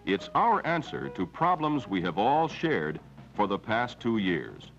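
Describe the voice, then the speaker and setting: A middle-aged man reading a measured, promotional script as narrator of a company film, on a dull 1970s film soundtrack. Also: lowish voice, wildly swooping pitch, faint music behind.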